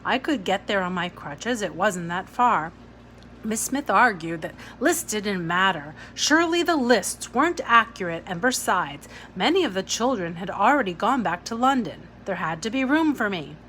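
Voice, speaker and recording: A woman's voice: mid-range voice, expressive, moderate pace, fairly bright tone, rough recording. A woman reading a children's novel aloud as scripted narration. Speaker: audiobook-style reader. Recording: clear background noise.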